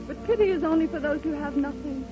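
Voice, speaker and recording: Actress doing wistful blind woman, old radio drama, really high voice, dramatic pauses, muffled sound with music. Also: close to an everyday voice. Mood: tender, serene. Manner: hushed emotional theatrical delivery with deliberate pauses. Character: blind woman in a radio play, wistful, serenely proud dreamer. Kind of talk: 1930s radio dramatic acting. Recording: old dull 1930s radio recording, music behind.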